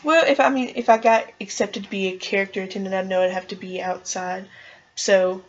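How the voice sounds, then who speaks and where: A woman's voice: mid-range voice, steady tone, brisk, dull audio. A young woman chatting eagerly and casually as an applicant answering questions in a job interview. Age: young adult.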